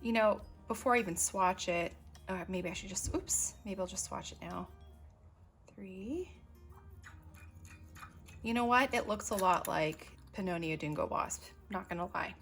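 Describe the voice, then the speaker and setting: A woman talks through her hobby supplies in a relaxed show-and-tell style, wandering into small asides and filling pauses with ums. Her voice sits at an ordinary middle pitch. Quiet music plays faintly behind her. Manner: chatty, unhurried, lots of ums, asides while working. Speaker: stationery vlogger. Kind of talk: casual show-and-tell narration.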